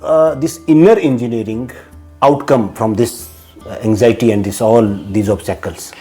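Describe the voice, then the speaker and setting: A male host with a husky, mellow voice interviews his guest. He gropes for words and restarts his questions often, and faint music plays in the background. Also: medium pitch. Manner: halting questions with many fillers and restarts.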